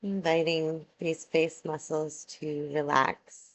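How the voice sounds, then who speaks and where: A woman guiding a yoga relaxation meditation, giving soothing, measured instructions with long pauses between phrases. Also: low-pitched, level tone, a little hiss or hum, poor audio.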